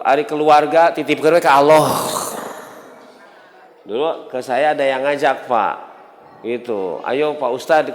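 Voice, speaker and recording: A male Islamic preacher speaks in a reverberant hall, his voice on the high side. He has a Sundanese accent and addresses the congregation conversationally, posing rhetorical questions and acting out short dialogues. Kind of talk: sermon to a congregation. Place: large mosque hall.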